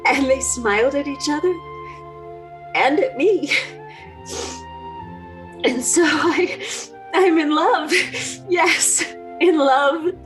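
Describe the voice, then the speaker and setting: Slow, high-voiced emotional woman. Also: soft background music. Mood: moved, fervent. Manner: halting, tremulous, dramatic. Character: emotional, idealistic American woman addressing refugee children. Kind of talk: 1930s radio play monologue.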